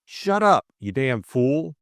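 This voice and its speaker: Audiobook role: man plays breezy young gentleman adventurer, careless, gently proud, lifted and very lively pitch. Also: slow pace, clean tone, dry recording, clean studio sound. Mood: indignant. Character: Jimmy Thesiger, pleasant breezy young English gentleman adventurer. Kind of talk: audiobook character dialogue.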